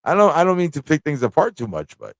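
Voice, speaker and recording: A man's voice: fairly high pitch, huge pitch swings, fast talker, dry recording. A man hosting a livestream fan chat, talking in a rambling, informal way with frequent rhetorical tag questions. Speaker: livestream talk show host.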